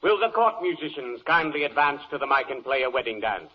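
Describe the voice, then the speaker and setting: An actor playing a brisk, impatient court clerk, wry and officious, in 1940s comic radio style. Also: male voice, mid-range voice, sing-song, big-room sound, natural voice. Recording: muffled, hissy old radio recording.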